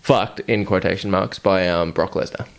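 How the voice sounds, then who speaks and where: A man co-hosting a podcast in casual chat, relaxed and rambling, swearing freely. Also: sits low, moderate pace, creaky. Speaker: podcast co-host.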